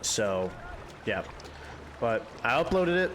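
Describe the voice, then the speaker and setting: A man's voice: medium pitch, big pitch swings, slow pace, a bit bright, a little hiss or hum. A young man with a congested voice, chatting in a rambling, candid way while hosting a game playthrough. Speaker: gaming channel host. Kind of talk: casual chat during a game playthrough.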